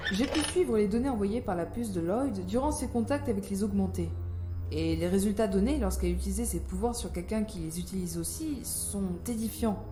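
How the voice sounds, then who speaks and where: A woman's voice: medium pitch, lively intonation, brisk, natural voice. A woman playing an ambitious, calculating scientist in an audio drama, articulate, self-assured and coolly persuasive. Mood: intrigued. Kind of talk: scripted audio drama acting. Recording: roomy sound, faint music behind.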